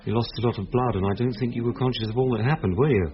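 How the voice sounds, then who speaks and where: A man playing a composed police detective in a radio play. He explains in a measured, gentle, reassuring way at a medium pitch, on a slightly dull recording. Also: close to an everyday voice.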